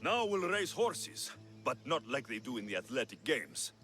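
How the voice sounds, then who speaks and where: Video game role: confident young Spartan mercenary, animated delivery, light music behind. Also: male voice, medium pitch, moderate pace. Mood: confident, instructive. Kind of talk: scripted video game cutscene acting.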